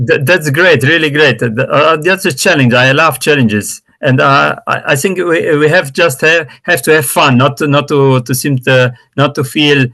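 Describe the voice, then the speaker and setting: A man's voice: fairly high pitch, normal speed, hardly any pauses, pushed and bright. Male student, first language Romanian, hesitant, many restarts, video call. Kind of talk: practicing English in a lesson.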